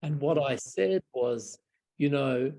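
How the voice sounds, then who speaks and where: A man's voice: mid-range voice, takes it slowly, warm tone. An older Australian man, a Buddhist monk, telling wry, reflective stories in casual talk over a video call from a small room at home. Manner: reflective, wry, chuckling, frequent restarts. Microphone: video call webcam.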